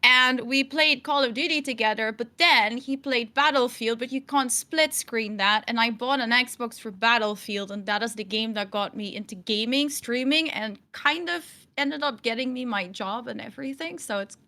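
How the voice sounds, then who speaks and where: A woman's voice: a little high, moderate pace, few pauses, bright, forceful tone. A woman chatting as a guest streamer, telling a story and giggling. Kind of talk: casual chat.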